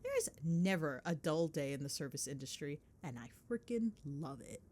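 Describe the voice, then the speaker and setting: A woman's voice: low-pitched, animated, moderate pace, a little mellow, slight background noise. A woman playing a chatty, gossipy girlfriend in a casual ASMR phone-call roleplay, with playful, sweary, dramatic griping. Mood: amused gossip. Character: chatty, gossipy girlfriend venting on the drive home.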